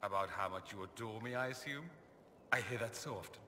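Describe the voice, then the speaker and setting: A man's voice: fairly low pitch, fairly quick, bright, forceful tone, long reverb tail, a little hiss or hum. An actor playing a witty, flirtatious male mage who talks in a dry, teasing, sardonic way. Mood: teasing, wry. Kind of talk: video game voice acting.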